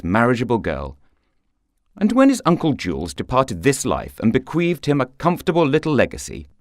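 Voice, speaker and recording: A man narrating a short story for radio in a theatrical, expressive manner. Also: on the low side, dry recording, studio quality. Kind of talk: scripted literary narration for radio.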